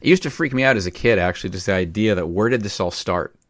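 A man's voice: fairly low pitch, fast, a bit bright, dry recording. A man hosting a podcast, asking rambling questions with many restarts in a casual interview. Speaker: podcast host.

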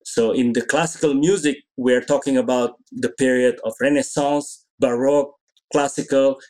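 A middle-aged man from Indonesia, an orchestra conductor, answering interview questions thoughtfully and pausing often to find his words. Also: mid-range voice, steady tone, a little mellow. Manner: thoughtful, searching for words, many pauses.

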